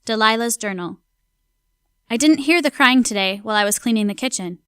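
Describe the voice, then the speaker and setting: Woman playing a clear-voiced, timid servant girl. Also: on the high side, brisk, a bit bright, no echo, studio quality, close to an everyday voice. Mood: nervous, confiding. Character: timid, kind-hearted household servant woman keeping a journal. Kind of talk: dramatic journal narration in an audio drama.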